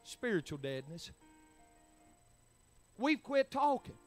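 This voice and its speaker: High-voiced preacher man. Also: very animated, slow pace, faint music behind. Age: 40s. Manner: preaching with rising, emphatic bursts. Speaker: pastor. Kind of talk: sermon.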